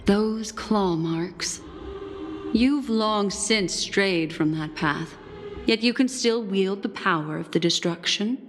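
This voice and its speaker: A cool, sharp-minded game heroine voiced by an actress talks slowly and dryly, wary and dismissive, as if accusing an old rival. Her mid-pitched voice rings with long reverb over music. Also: slight background noise, close to an everyday voice. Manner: measured, dry, accusing, dismissive. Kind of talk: dramatic video game voice acting.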